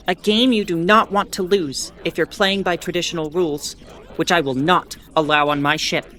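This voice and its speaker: A woman playing a weary, sardonic starship broadcaster in an audio drama, giving history in a deliberate, grudging, pointed way. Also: on the low side, a bit bright. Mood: dry, disapproving. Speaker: ship's bulletin broadcaster. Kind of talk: in-character educational monologue, audio fiction.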